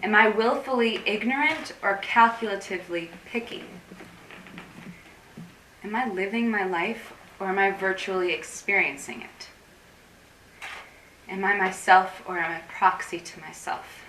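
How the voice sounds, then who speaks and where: A woman's voice: medium pitch, measured pace, pauses often, a bit bright, lightly acted. An actress playing an anxious, intense woman in a staged play reading, speaking in a wordy, confessional, dramatic way. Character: anxious, intense woman craving digital disconnection. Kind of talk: theatrical staged reading, monologue-like dialogue. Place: room at a live staged reading. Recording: roomy, distant mic, faint noise.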